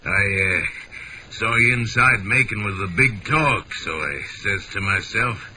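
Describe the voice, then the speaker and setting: An American actor playing a flabby, shady small-time crook in a radio drama, cagey and slyly menacing, with slangy, ungrammatical talk. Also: male voice, fairly low pitch, a little slow, lightly acted. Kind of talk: 1940s-style radio drama acting. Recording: noisy old radio broadcast.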